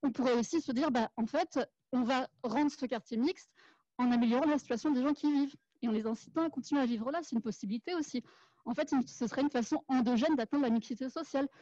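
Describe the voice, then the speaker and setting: Woman, audience speaker, articulate, earnest, rapid, fairly high voice, dry lo-fi sound. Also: mellow, dry recording. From Paris suburbs, France. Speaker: urban planner and activist in the audience. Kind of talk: argumentative audience comment. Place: small crowded café room. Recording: dull, lo-fi event recording.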